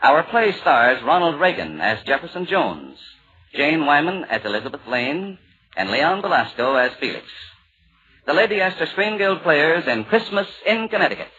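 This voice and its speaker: A man's voice: on the high side, big pitch swings, normal speed, sharp tone. A man announcing a scripted 1940s radio program in a formal broadcast style. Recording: dull old radio broadcast, music behind.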